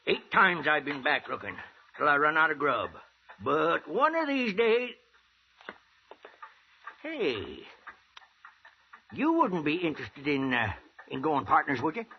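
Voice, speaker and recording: Gravelly, high-pitched old prospector. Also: male voice, sing-song, slow pace, pauses often, exaggerated voice. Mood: coaxing. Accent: American. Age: older. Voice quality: gravelly, cackling old-timer voice. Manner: folksy, rambling, throat-clearing drawl. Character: toothless, eager old gold prospector of the frontier West. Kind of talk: 1950s radio western acting. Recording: muffled, hissy old radio recording.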